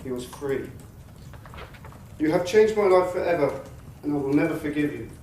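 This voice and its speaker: A man reading a victim statement in a measured, defiant, bitterly sarcastic manner. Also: fairly high pitch, lively intonation, darkish tone. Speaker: attack survivor giving a victim impact statement. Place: courtroom. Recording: very echoey courtroom from a distance, faint noise.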